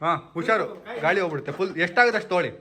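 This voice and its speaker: Fast, high-pitched man hosting. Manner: excitable, repeats phrases, gives instructions. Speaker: vlog host organizing a family challenge. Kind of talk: casual, playful family vlog chat. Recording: chatter of other people behind.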